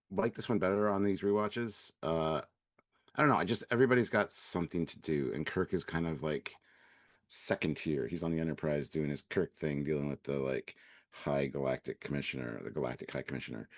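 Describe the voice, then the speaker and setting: A man co-hosting a live review show, laid-back and halting, his voice raspy from a cold. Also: a bass voice, no echo, studio quality. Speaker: co-host. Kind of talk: live-stream review chat.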